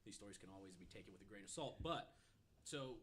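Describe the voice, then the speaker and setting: A man's voice: fairly low pitch, lively intonation, fast talker, a bit bright, slight background noise. A man telling a story conversationally on a podcast, often hedging and dropping in "you know". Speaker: podcast panelist.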